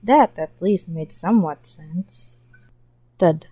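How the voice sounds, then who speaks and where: A woman reading a fan fiction story aloud as a podfic in calm, even narration. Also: lowish voice, takes it slowly, slightly rough, dry recording. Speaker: podfic reader. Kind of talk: scripted narration.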